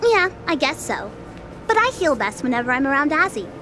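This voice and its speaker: Woman acting a very high-pitched, playful young goddess. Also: sing-song, brisk, over music. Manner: coy, teasing, slangy. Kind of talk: audio drama acting.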